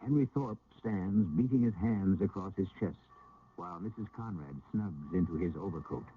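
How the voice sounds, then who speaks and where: A man narrating a radio drama in a measured, literary storytelling manner. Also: sits low, slightly rough. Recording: muffled, noisy old radio broadcast.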